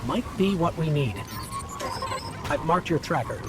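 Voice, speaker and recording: An actor playing an anxious, earnest little AI robot companion in a video game, reporting things precisely. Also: male voice, on the high side, lively intonation, a little slow, loud music behind the voice. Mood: focused, earnest.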